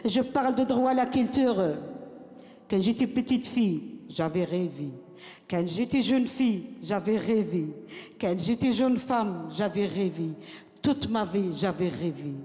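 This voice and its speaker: An older woman recites her own text on a stage, her voice soft and mellow. She builds rhythm by repeating lines like a chant. The sound rings with the long reverb of a big hall. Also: mid-range voice, lively intonation, unhurried. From Morocco. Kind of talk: spoken-word recitation to an audience.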